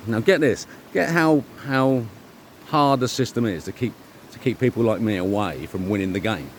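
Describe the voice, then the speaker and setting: A middle-aged man giving a rambling, informal monologue, speaking emphatically and self-assuredly in halting, repeated phrases. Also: on the low side, a little hiss or hum. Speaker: vlogger.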